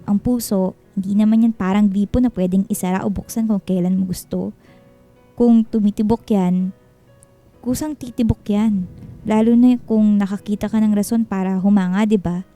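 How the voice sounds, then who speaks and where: An actress playing a frank but caring married best friend giving advice in a radio drama. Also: female voice, medium pitch, fairly even intonation, moderate pace, dark tone, faint music behind, close to an everyday voice. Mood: earnest advising. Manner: candid, consoling, advising. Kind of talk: Filipino radio drama acting.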